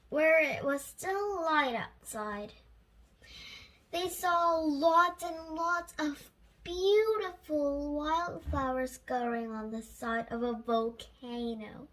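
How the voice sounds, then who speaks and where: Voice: high voice, animated, extremely slow, fairly bright tone, poor audio. A young child reading a children's story aloud carefully, sometimes stumbling over or repeating words.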